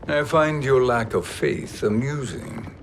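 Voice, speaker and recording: A man's voice: medium pitch, animated, drawn-out words, a little hiss or hum. A man playing a sly, amused sorcerer villain in a video game, with a mocking, theatrical delivery. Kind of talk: video game cutscene acting. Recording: loud music behind the voice.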